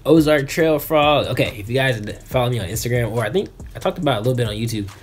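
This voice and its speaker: A man's voice: mid-range voice, expressive, quick pace, soft background music. A young American man chatting casually as an unboxing vlogger, laid-back and slangy, recorded indoors on a camera at arm's length. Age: young adult. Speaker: fishing vlogger. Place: small bedroom, indoors.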